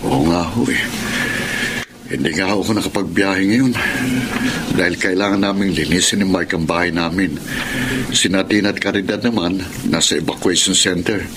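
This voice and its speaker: A man's voice: deep voice, moderate pace, lightly acted. A man playing a humble, hard-up driver and family father in a Tagalog radio drama. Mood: respectful, weary. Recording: noisy radio drama recording.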